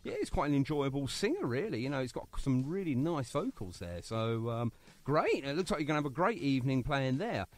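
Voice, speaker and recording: A man hosting a casual radio interview, chatty and quick with jokey asides. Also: mid-range voice, sing-song. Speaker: radio show host.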